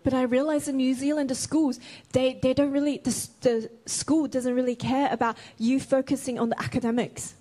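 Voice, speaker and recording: Woman interpreter, fairly high voice, careful phrasing with self-corrections. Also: flat delivery, fairly quick, hardly any pauses, warm tone. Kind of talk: consecutive sermon interpretation.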